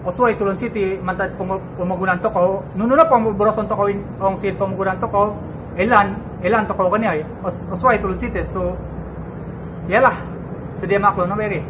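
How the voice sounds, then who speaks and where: A young Malaysian man with a Malaysian accent talks to his viewers in a chatty, reassuring way, and his voice sits high. He records on a handheld camera mic outdoors in the countryside at night. Loud insect and night noise surrounds him. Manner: chatty and reassuring, mixes in Kadazan Dusun phrases. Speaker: vlogger. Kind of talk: casual talk to camera.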